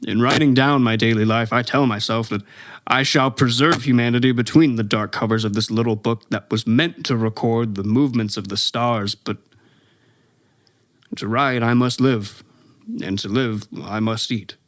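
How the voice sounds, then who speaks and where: An actor playing an exhausted, haunted professor who survived an invasion, delivering a halting, brooding radio play monologue. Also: male voice, medium pitch, fairly even intonation, no echo, clean studio sound, lightly acted. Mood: weary, watchful. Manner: halting, brooding, stammering over words.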